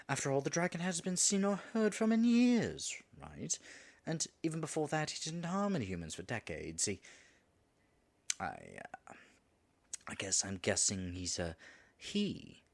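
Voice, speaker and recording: A man's voice: medium pitch, huge pitch swings, unhurried, gravelly, pauses often, full character voice. A man voicing a flustered, flirtatious dragon in human form in an audio roleplay, stammering nervously and muttering asides to himself. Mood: nervous, defensive. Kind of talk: audio roleplay addressed to the listener.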